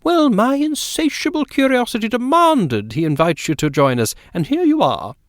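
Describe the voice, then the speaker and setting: A man's voice: very high voice, sing-song, moderate pace, no room sound, studio quality, pitched above the performer's own voice. A man voicing a talkative, curious well-to-do woman who is eager and inquisitive.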